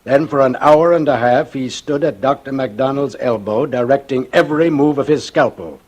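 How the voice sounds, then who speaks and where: A grave defense lawyer played by a male actor talks in a husky, mid-pitched voice. He is measured, earnest and solemn, in 1940s radio play style. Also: darkish tone, lightly acted. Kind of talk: 1940s radio drama acting. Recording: vintage radio broadcast.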